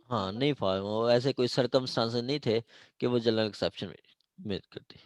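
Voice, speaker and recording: Man, Pakistani accent, average pitch, informal classroom lecture, hesitant, repetitive, code-mixing with English terms, dry sound. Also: moderate pace, no room sound. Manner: hesitant, repetitive, often checking understanding; mixes Urdu with English legal terms. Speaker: law teacher.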